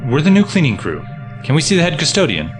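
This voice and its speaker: An actor playing a wry resistance scientist in an audio drama, explaining things drily. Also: male voice, a little high, wildly swooping pitch, quick pace. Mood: dry. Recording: music behind the voice.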